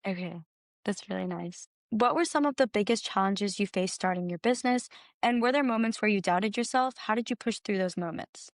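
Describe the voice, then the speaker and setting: A woman's voice: medium pitch, a little fast, no room sound, studio quality. A woman conducting an interview, asking prepared questions in a conversational exchange.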